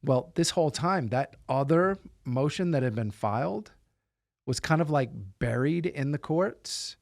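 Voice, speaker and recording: A man's voice: on the high side, lively intonation, measured pace, vocal fry, dry recording, clean studio sound. A man telling a personal story in conversational podcast style, with an emphatic, incredulous manner. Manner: emphatic, incredulous, repeats words for stress. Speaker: podcast host telling his own story.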